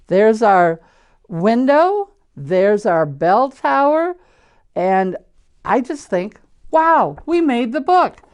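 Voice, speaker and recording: An older woman with an American Midwestern accent reads and chats to children as a storyteller, in simple, deliberate wording with questions to her listeners. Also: fairly high pitch, darkish tone. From Iowa, United States. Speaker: church storyteller reading picture books to children. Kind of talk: children's read-aloud with chatty asides.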